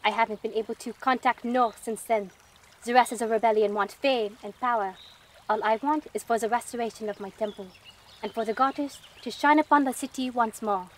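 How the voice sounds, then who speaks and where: A woman's voice: fairly high pitch, brisk, natural voice. A woman playing a scornful, lofty devotee of a goddess in a fantasy audio drama. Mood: aggrieved, lofty. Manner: lofty, severe, dismissive.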